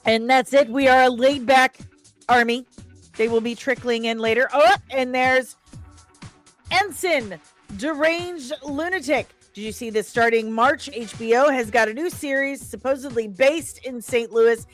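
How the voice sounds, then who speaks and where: A woman hosting a casual livestream, chatting and reading out comments in a playful, expressive, sing-song way. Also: high-pitched, unhurried. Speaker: live stream host reviewing comics and screen news. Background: faint background music.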